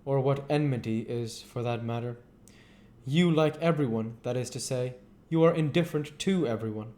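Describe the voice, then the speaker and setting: A man voicing an earnest, devoted, brooding gentleman painter from a novel, with an intense and sincere manner. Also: medium pitch, moderate pace, lightly acted. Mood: reproachful.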